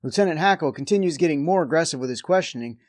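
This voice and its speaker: A man's voice: a little high, lively intonation, quick pace, no echo, clean studio sound. A man hosting a review show, delivering scripted comedic recap narration with a wry, sarcastic edge.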